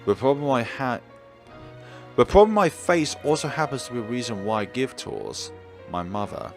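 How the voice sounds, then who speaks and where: A man voicing a reserved young male gondolier in a visual novel, speaking quietly and hesitantly. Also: mid-range voice, sing-song, normal speed, soft background music. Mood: subdued.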